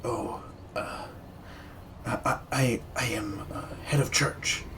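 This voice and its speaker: A nervous old priest in a tabletop game, talking in a hushed, stammering voice, as the game master puts him on. His voice drops low and quiet, with an air of grim secrecy. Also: fairly even intonation, moderate pace, background noise, close to an everyday voice. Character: nervous older male village priest.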